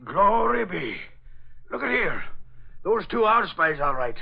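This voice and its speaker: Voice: medium pitch, very animated, a little slow, vocal fry, husky, exaggerated voice. An actor playing a wry, blustery American police sergeant with colloquial, sarcastic cop talk in a 1940s radio drama. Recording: dull vintage 1940s radio broadcast.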